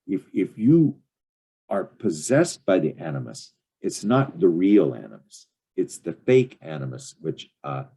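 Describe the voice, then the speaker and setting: An older man giving an informal study-group lecture, reading and commenting in a halting, digressive way with unfinished sentences. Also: rumbling low, dark tone, slightly rough.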